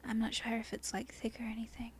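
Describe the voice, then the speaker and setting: A woman from Australia with a softened Australian accent, whispering an unscripted ASMR ramble in a halting, breathy way, often correcting herself. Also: a little high, completely flat delivery, slight background noise. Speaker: amateur ASMR video creator. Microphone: non-binaural microphone.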